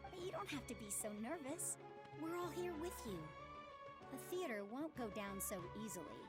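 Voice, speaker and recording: A kind, wise widowed mother speaks in a gentle, reassuring way. Her voice sits a little high and rises and falls in a lively, expressive way. Soft game music plays behind her. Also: normal speed. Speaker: widowed mother supporting a theater troupe. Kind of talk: video game voice acting.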